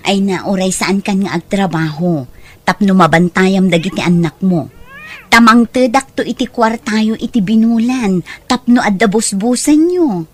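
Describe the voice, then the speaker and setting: A woman with an Ilocano accent playing a persuasive, caring aunt in an audio drama, with an expressive, coaxing delivery. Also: medium pitch, normal speed, close to an everyday voice. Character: Auntie Mikaela, persuasive, caring female relative.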